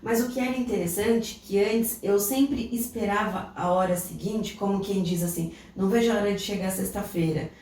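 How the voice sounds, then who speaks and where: A woman's voice: mid-range voice, normal speed, few pauses, a little mellow. A Brazilian woman co-hosting a podcast, telling a personal story in a reflective, casual way. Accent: Brazilian Portuguese. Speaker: podcast co-host. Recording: echoey room, distant mic, faint noise.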